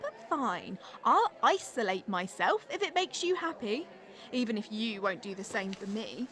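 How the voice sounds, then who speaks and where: A woman acts out a controlling girlfriend in a stage drama. Her voice is high and swings widely in pitch as she accuses and guilt-trips, always sounding wronged and on the defensive. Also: sing-song, normal speed, fairly bright tone. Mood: controlling, insistent. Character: manipulative, wounded, accusing young girlfriend in abusive relationship. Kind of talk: dramatic dialogue from a stage play.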